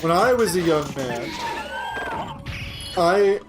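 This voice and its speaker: A man from Pennsylvania with a fairly high voice tells a long story in a dry, deadpan way while co-hosting a gaming show. He rambles and circles back, never rushing the joke. Faint game music plays quietly beneath him. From Pennsylvania, USA. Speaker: show co-host, gamer. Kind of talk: casual gaming podcast chat.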